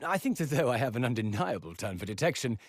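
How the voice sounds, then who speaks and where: An actor playing an eccentric, boastful great detective in a video game, theatrical and amused with himself. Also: male voice, mid-range voice, big pitch swings, fast talker, no echo, studio quality. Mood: smug, amused. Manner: theatrical, amused, self-satisfied.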